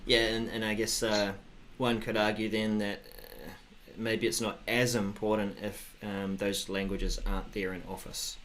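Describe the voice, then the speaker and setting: A man in his forties co-hosting a tech news show, talking casually in a halting, conversational way. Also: fairly low pitch, little pitch movement, a bit bright, a little hiss or hum. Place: home office, video call.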